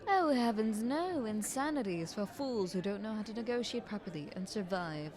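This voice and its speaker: A woman playing a scheming, flattering queen in an audio roleplay, speaking in a haughty, ornate courtly manner. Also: mid-range voice, moderate pace, a faint crowd, close to an everyday voice. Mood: haughty, persuasive. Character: visiting queen, scheming, flattering, condescending royal negotiator.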